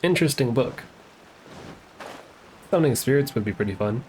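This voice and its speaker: A man's voice: medium pitch, lively intonation, normal speed, a little mellow, a little hiss or hum, poor audio. A man giving casual live commentary as a game streamer, in short offhand remarks. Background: game music.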